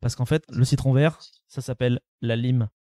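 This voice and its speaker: A man's voice: mid-range voice, steady tone, normal speed, dark tone. A man hosting a casual podcast quiz, explaining with many fillers and self-deprecating asides. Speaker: podcast host running a quiz. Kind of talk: casual chat.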